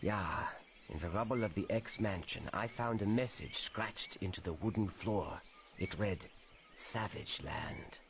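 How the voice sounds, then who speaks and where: An actor playing an earnest, firm young superhero team leader in a video game. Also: male voice, fairly low pitch, unhurried, slight background noise. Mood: serious.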